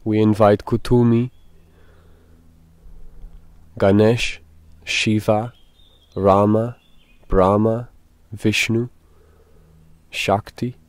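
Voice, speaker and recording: A man leading a guided meditation, speaking in a deliberate, halting way with pauses. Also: on the low side, flat delivery, dry recording.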